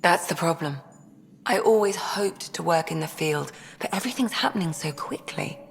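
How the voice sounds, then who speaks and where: A woman's voice: lowish voice, lively intonation, normal speed, creaky, in a large hall. A woman playing an eager, nervous alien medic and science enthusiast in a video game, hesitant yet keen. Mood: anxious. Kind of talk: video game dialogue acting.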